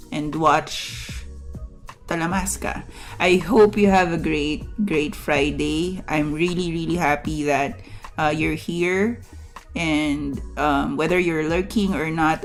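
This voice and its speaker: Low, unhurried woman streamer. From Philippines. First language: Tagalog. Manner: rambling, halting, many fillers and restarts. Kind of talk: casual chat to stream viewers. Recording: faint music behind.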